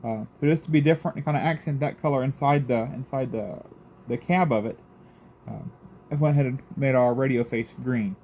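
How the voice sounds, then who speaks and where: A man's voice: medium pitch, fairly quick, narrow, tinny audio, a little hiss or hum. A man with a folksy, informal manner hosting a casual how-to restoration video. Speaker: host of restoration vlog.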